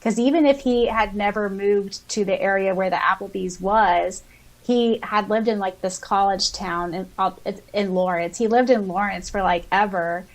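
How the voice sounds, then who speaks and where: Female podcast co-host, medium pitch, informal casual chat, anecdotal delivery. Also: normal speed, poor audio. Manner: informal, conversational.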